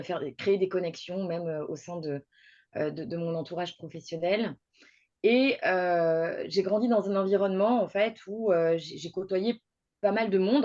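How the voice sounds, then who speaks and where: A woman in her late thirties from Toulouse recounts her path in a personal monologue. Her voice sits fairly low, and she hesitates often and restarts her sentences. The recording is dull and lo-fi. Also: darkish tone. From southern France, Toulouse. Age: 30s. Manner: hesitant, many fillers and restarts. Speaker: podcast guest speaker.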